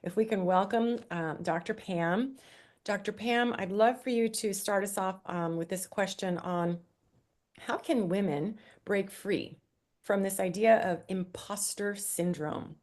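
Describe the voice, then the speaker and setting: A woman's voice: mid-range voice, a little slow, a little mellow. A woman moderating a panel discussion, introducing speakers in an upbeat way.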